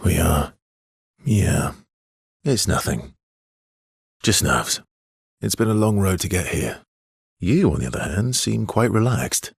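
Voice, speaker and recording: Tired gentle game hero dude, low n really raspy breathy voice, slow and understated. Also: male voice, big pitch swings, dry recording, clean studio sound, heavily acted. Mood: quiet, reflective. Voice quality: husky, breathy. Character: weary, gentle, guilt-burdened adult male adventurer. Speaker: video game voice actor. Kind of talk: video game dialogue lines.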